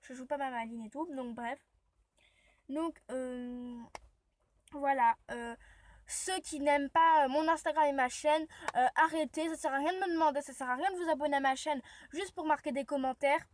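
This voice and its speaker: High-voiced woman with a cold. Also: fairly even intonation, moderate pace. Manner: rambling, chatty storytelling. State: has a cold and sore throat. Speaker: vlogger. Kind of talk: casual vlog chat. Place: inside a parked car, rain outside.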